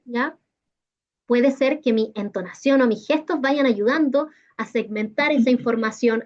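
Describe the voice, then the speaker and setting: Chilean female workshop presenter, higher register, explanatory with little check-in tags, muffled video-call sound. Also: a little mellow. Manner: didactic, checks in with short tag questions. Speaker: teacher-training workshop presenter. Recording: dull, lo-fi video call audio.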